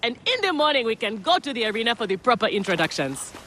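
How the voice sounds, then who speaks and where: A woman playing a boisterous, boastful gladiator and warm old friend in a video game, hearty and exuberant. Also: fairly high pitch, very animated, fast, a little hiss or hum. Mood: hearty reassurance. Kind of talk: dramatic video game acting.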